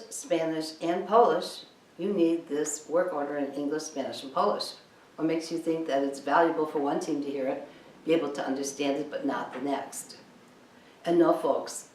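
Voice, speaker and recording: A woman's voice: low-pitched, a little mellow. A woman giving an instructional training lecture in an emphatic, insistent way, often posing rhetorical questions. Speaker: cleaning business trainer. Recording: echoey room, distant mic, background noise.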